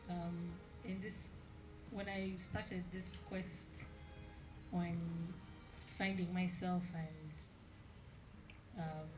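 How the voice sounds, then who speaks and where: A woman's voice: lowish voice, rough recording. A young woman from central Uganda, a Luganda speaker, speaking candidly and reflectively as an artist answering audience questions at an exhibition.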